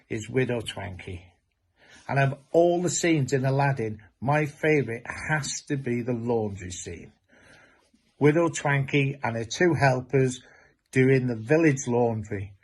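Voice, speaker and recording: Guy with a husky middling voice chatting about panto parts he's played. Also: medium pitch. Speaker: amateur pantomime performer. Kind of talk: spoken recollection to camera.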